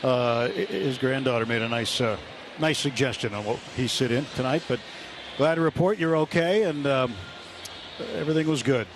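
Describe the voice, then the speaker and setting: A man chatting in a relaxed, easygoing way as a baseball radio announcer in the booth. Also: medium pitch, moderate pace, background noise. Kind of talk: casual booth banter.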